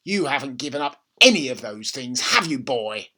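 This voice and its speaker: A man voicing a nasty, bossy old grandmother from a children's storybook, scolding and snapping with drawn-out emphasis. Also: mid-range voice, sing-song, pushed and bright. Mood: scornful.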